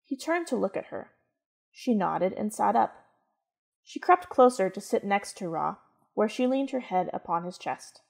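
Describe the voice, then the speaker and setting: Female narrator, mid-pitched, for scripted dramatic storytelling. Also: big pitch swings, a little slow, vocal fry.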